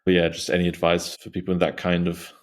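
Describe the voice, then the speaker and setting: A man's voice: deep voice, completely flat delivery, fast talker. A young man hosting a podcast, asking questions in a loose, casual way with many fillers. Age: young adult. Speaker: podcast host. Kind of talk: casual interview.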